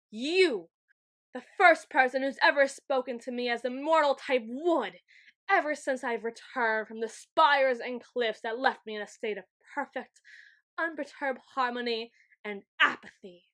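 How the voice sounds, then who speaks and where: A woman's voice: on the high side, a bit bright. A woman playing a grandiose, weakened overlord of shadows in an ASMR roleplay, with pompous, flowery, melodramatic delivery. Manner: pompous, archaic flowery phrasing. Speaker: ASMR roleplay audio performer. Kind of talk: ASMR roleplay, theatrical scripted monologue.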